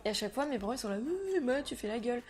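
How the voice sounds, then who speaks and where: A young woman, a French native speaker, chatting to camera in a slangy, animated way, talking about herself as a vlogger. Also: fairly high pitch, very fast, noisy background. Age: young adult. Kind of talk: casual chat to camera.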